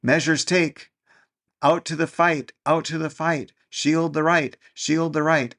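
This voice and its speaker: Small toy voices chanting an urgent rhyming call to arms, as a male reader puts them on. The pitch is lifted well above his normal voice, with a gravelly grain. It sounds like a storybook read aloud. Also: steady tone, slow, a bit bright. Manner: chanting rhymes. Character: chorus of tiny toy voices, eager.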